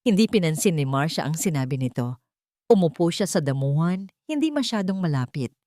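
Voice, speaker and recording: Filipino woman narrating, lowish voice, very dry sound. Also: sing-song, fairly quick, warm tone, no echo. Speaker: storyteller, narrator. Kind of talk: scripted dramatic story narration.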